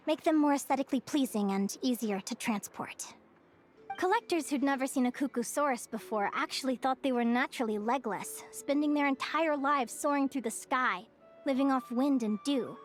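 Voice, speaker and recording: A woman playing an earnest, gentle young female naturalist in a video game, explaining things articulately and with curiosity. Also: high-pitched, close to an everyday voice. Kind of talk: scripted video game character dialogue.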